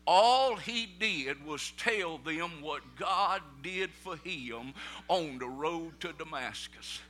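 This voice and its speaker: A middle-aged man with a Southern American accent preaching a sermon, telling folksy stories in plain vernacular and building up to loud preaching peaks. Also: on the high side, lively intonation, slow pace. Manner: folksy storytelling, rising to shouted preaching peaks.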